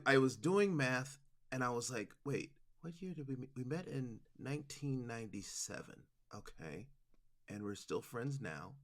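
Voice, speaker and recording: A man in his forties speaking casually as an interview guest, chatty and informal, thinking aloud as he goes. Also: mid-range voice. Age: 40s. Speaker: interview guest, production company president.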